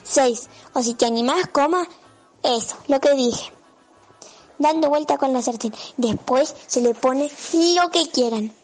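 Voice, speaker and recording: High-pitched Argentine woman reading to children. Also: unhurried, a little mellow, soft background music. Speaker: children's radio show presenter. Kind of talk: reading a recipe aloud to children.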